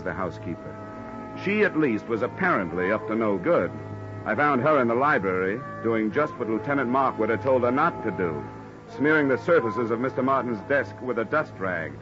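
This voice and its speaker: An American actor playing a cool, wisecracking hardboiled investigator in an old-time radio noir, with a dry, deadpan delivery. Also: male voice, mid-range voice, fairly quick, close to an everyday voice. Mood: wry narration. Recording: dull vintage radio recording, music behind.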